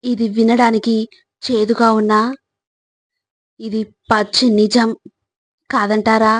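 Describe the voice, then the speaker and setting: A woman reading scripted inspirational narration in measured short phrases, speaking directly to the listener. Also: fairly high pitch, flat delivery, no room sound. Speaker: narrator of motivational quotes.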